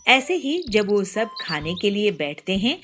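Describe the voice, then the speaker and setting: A woman narrating a children's cartoon story in an expressive, lilting way. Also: medium pitch, unhurried.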